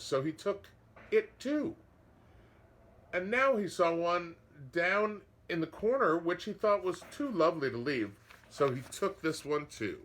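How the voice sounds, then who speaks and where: A man reading a picture book aloud as a storyteller, dramatic and expressive, with asides to listeners. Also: on the high side.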